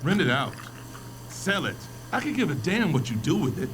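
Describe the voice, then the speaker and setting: A man's voice: a little high, sing-song, normal speed, a bit bright, a little hiss or hum, low-quality recording. A man playing a stern, domineering middle-aged father in an audio drama, speaking in a curt, commanding way. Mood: cold, demanding. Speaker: actor.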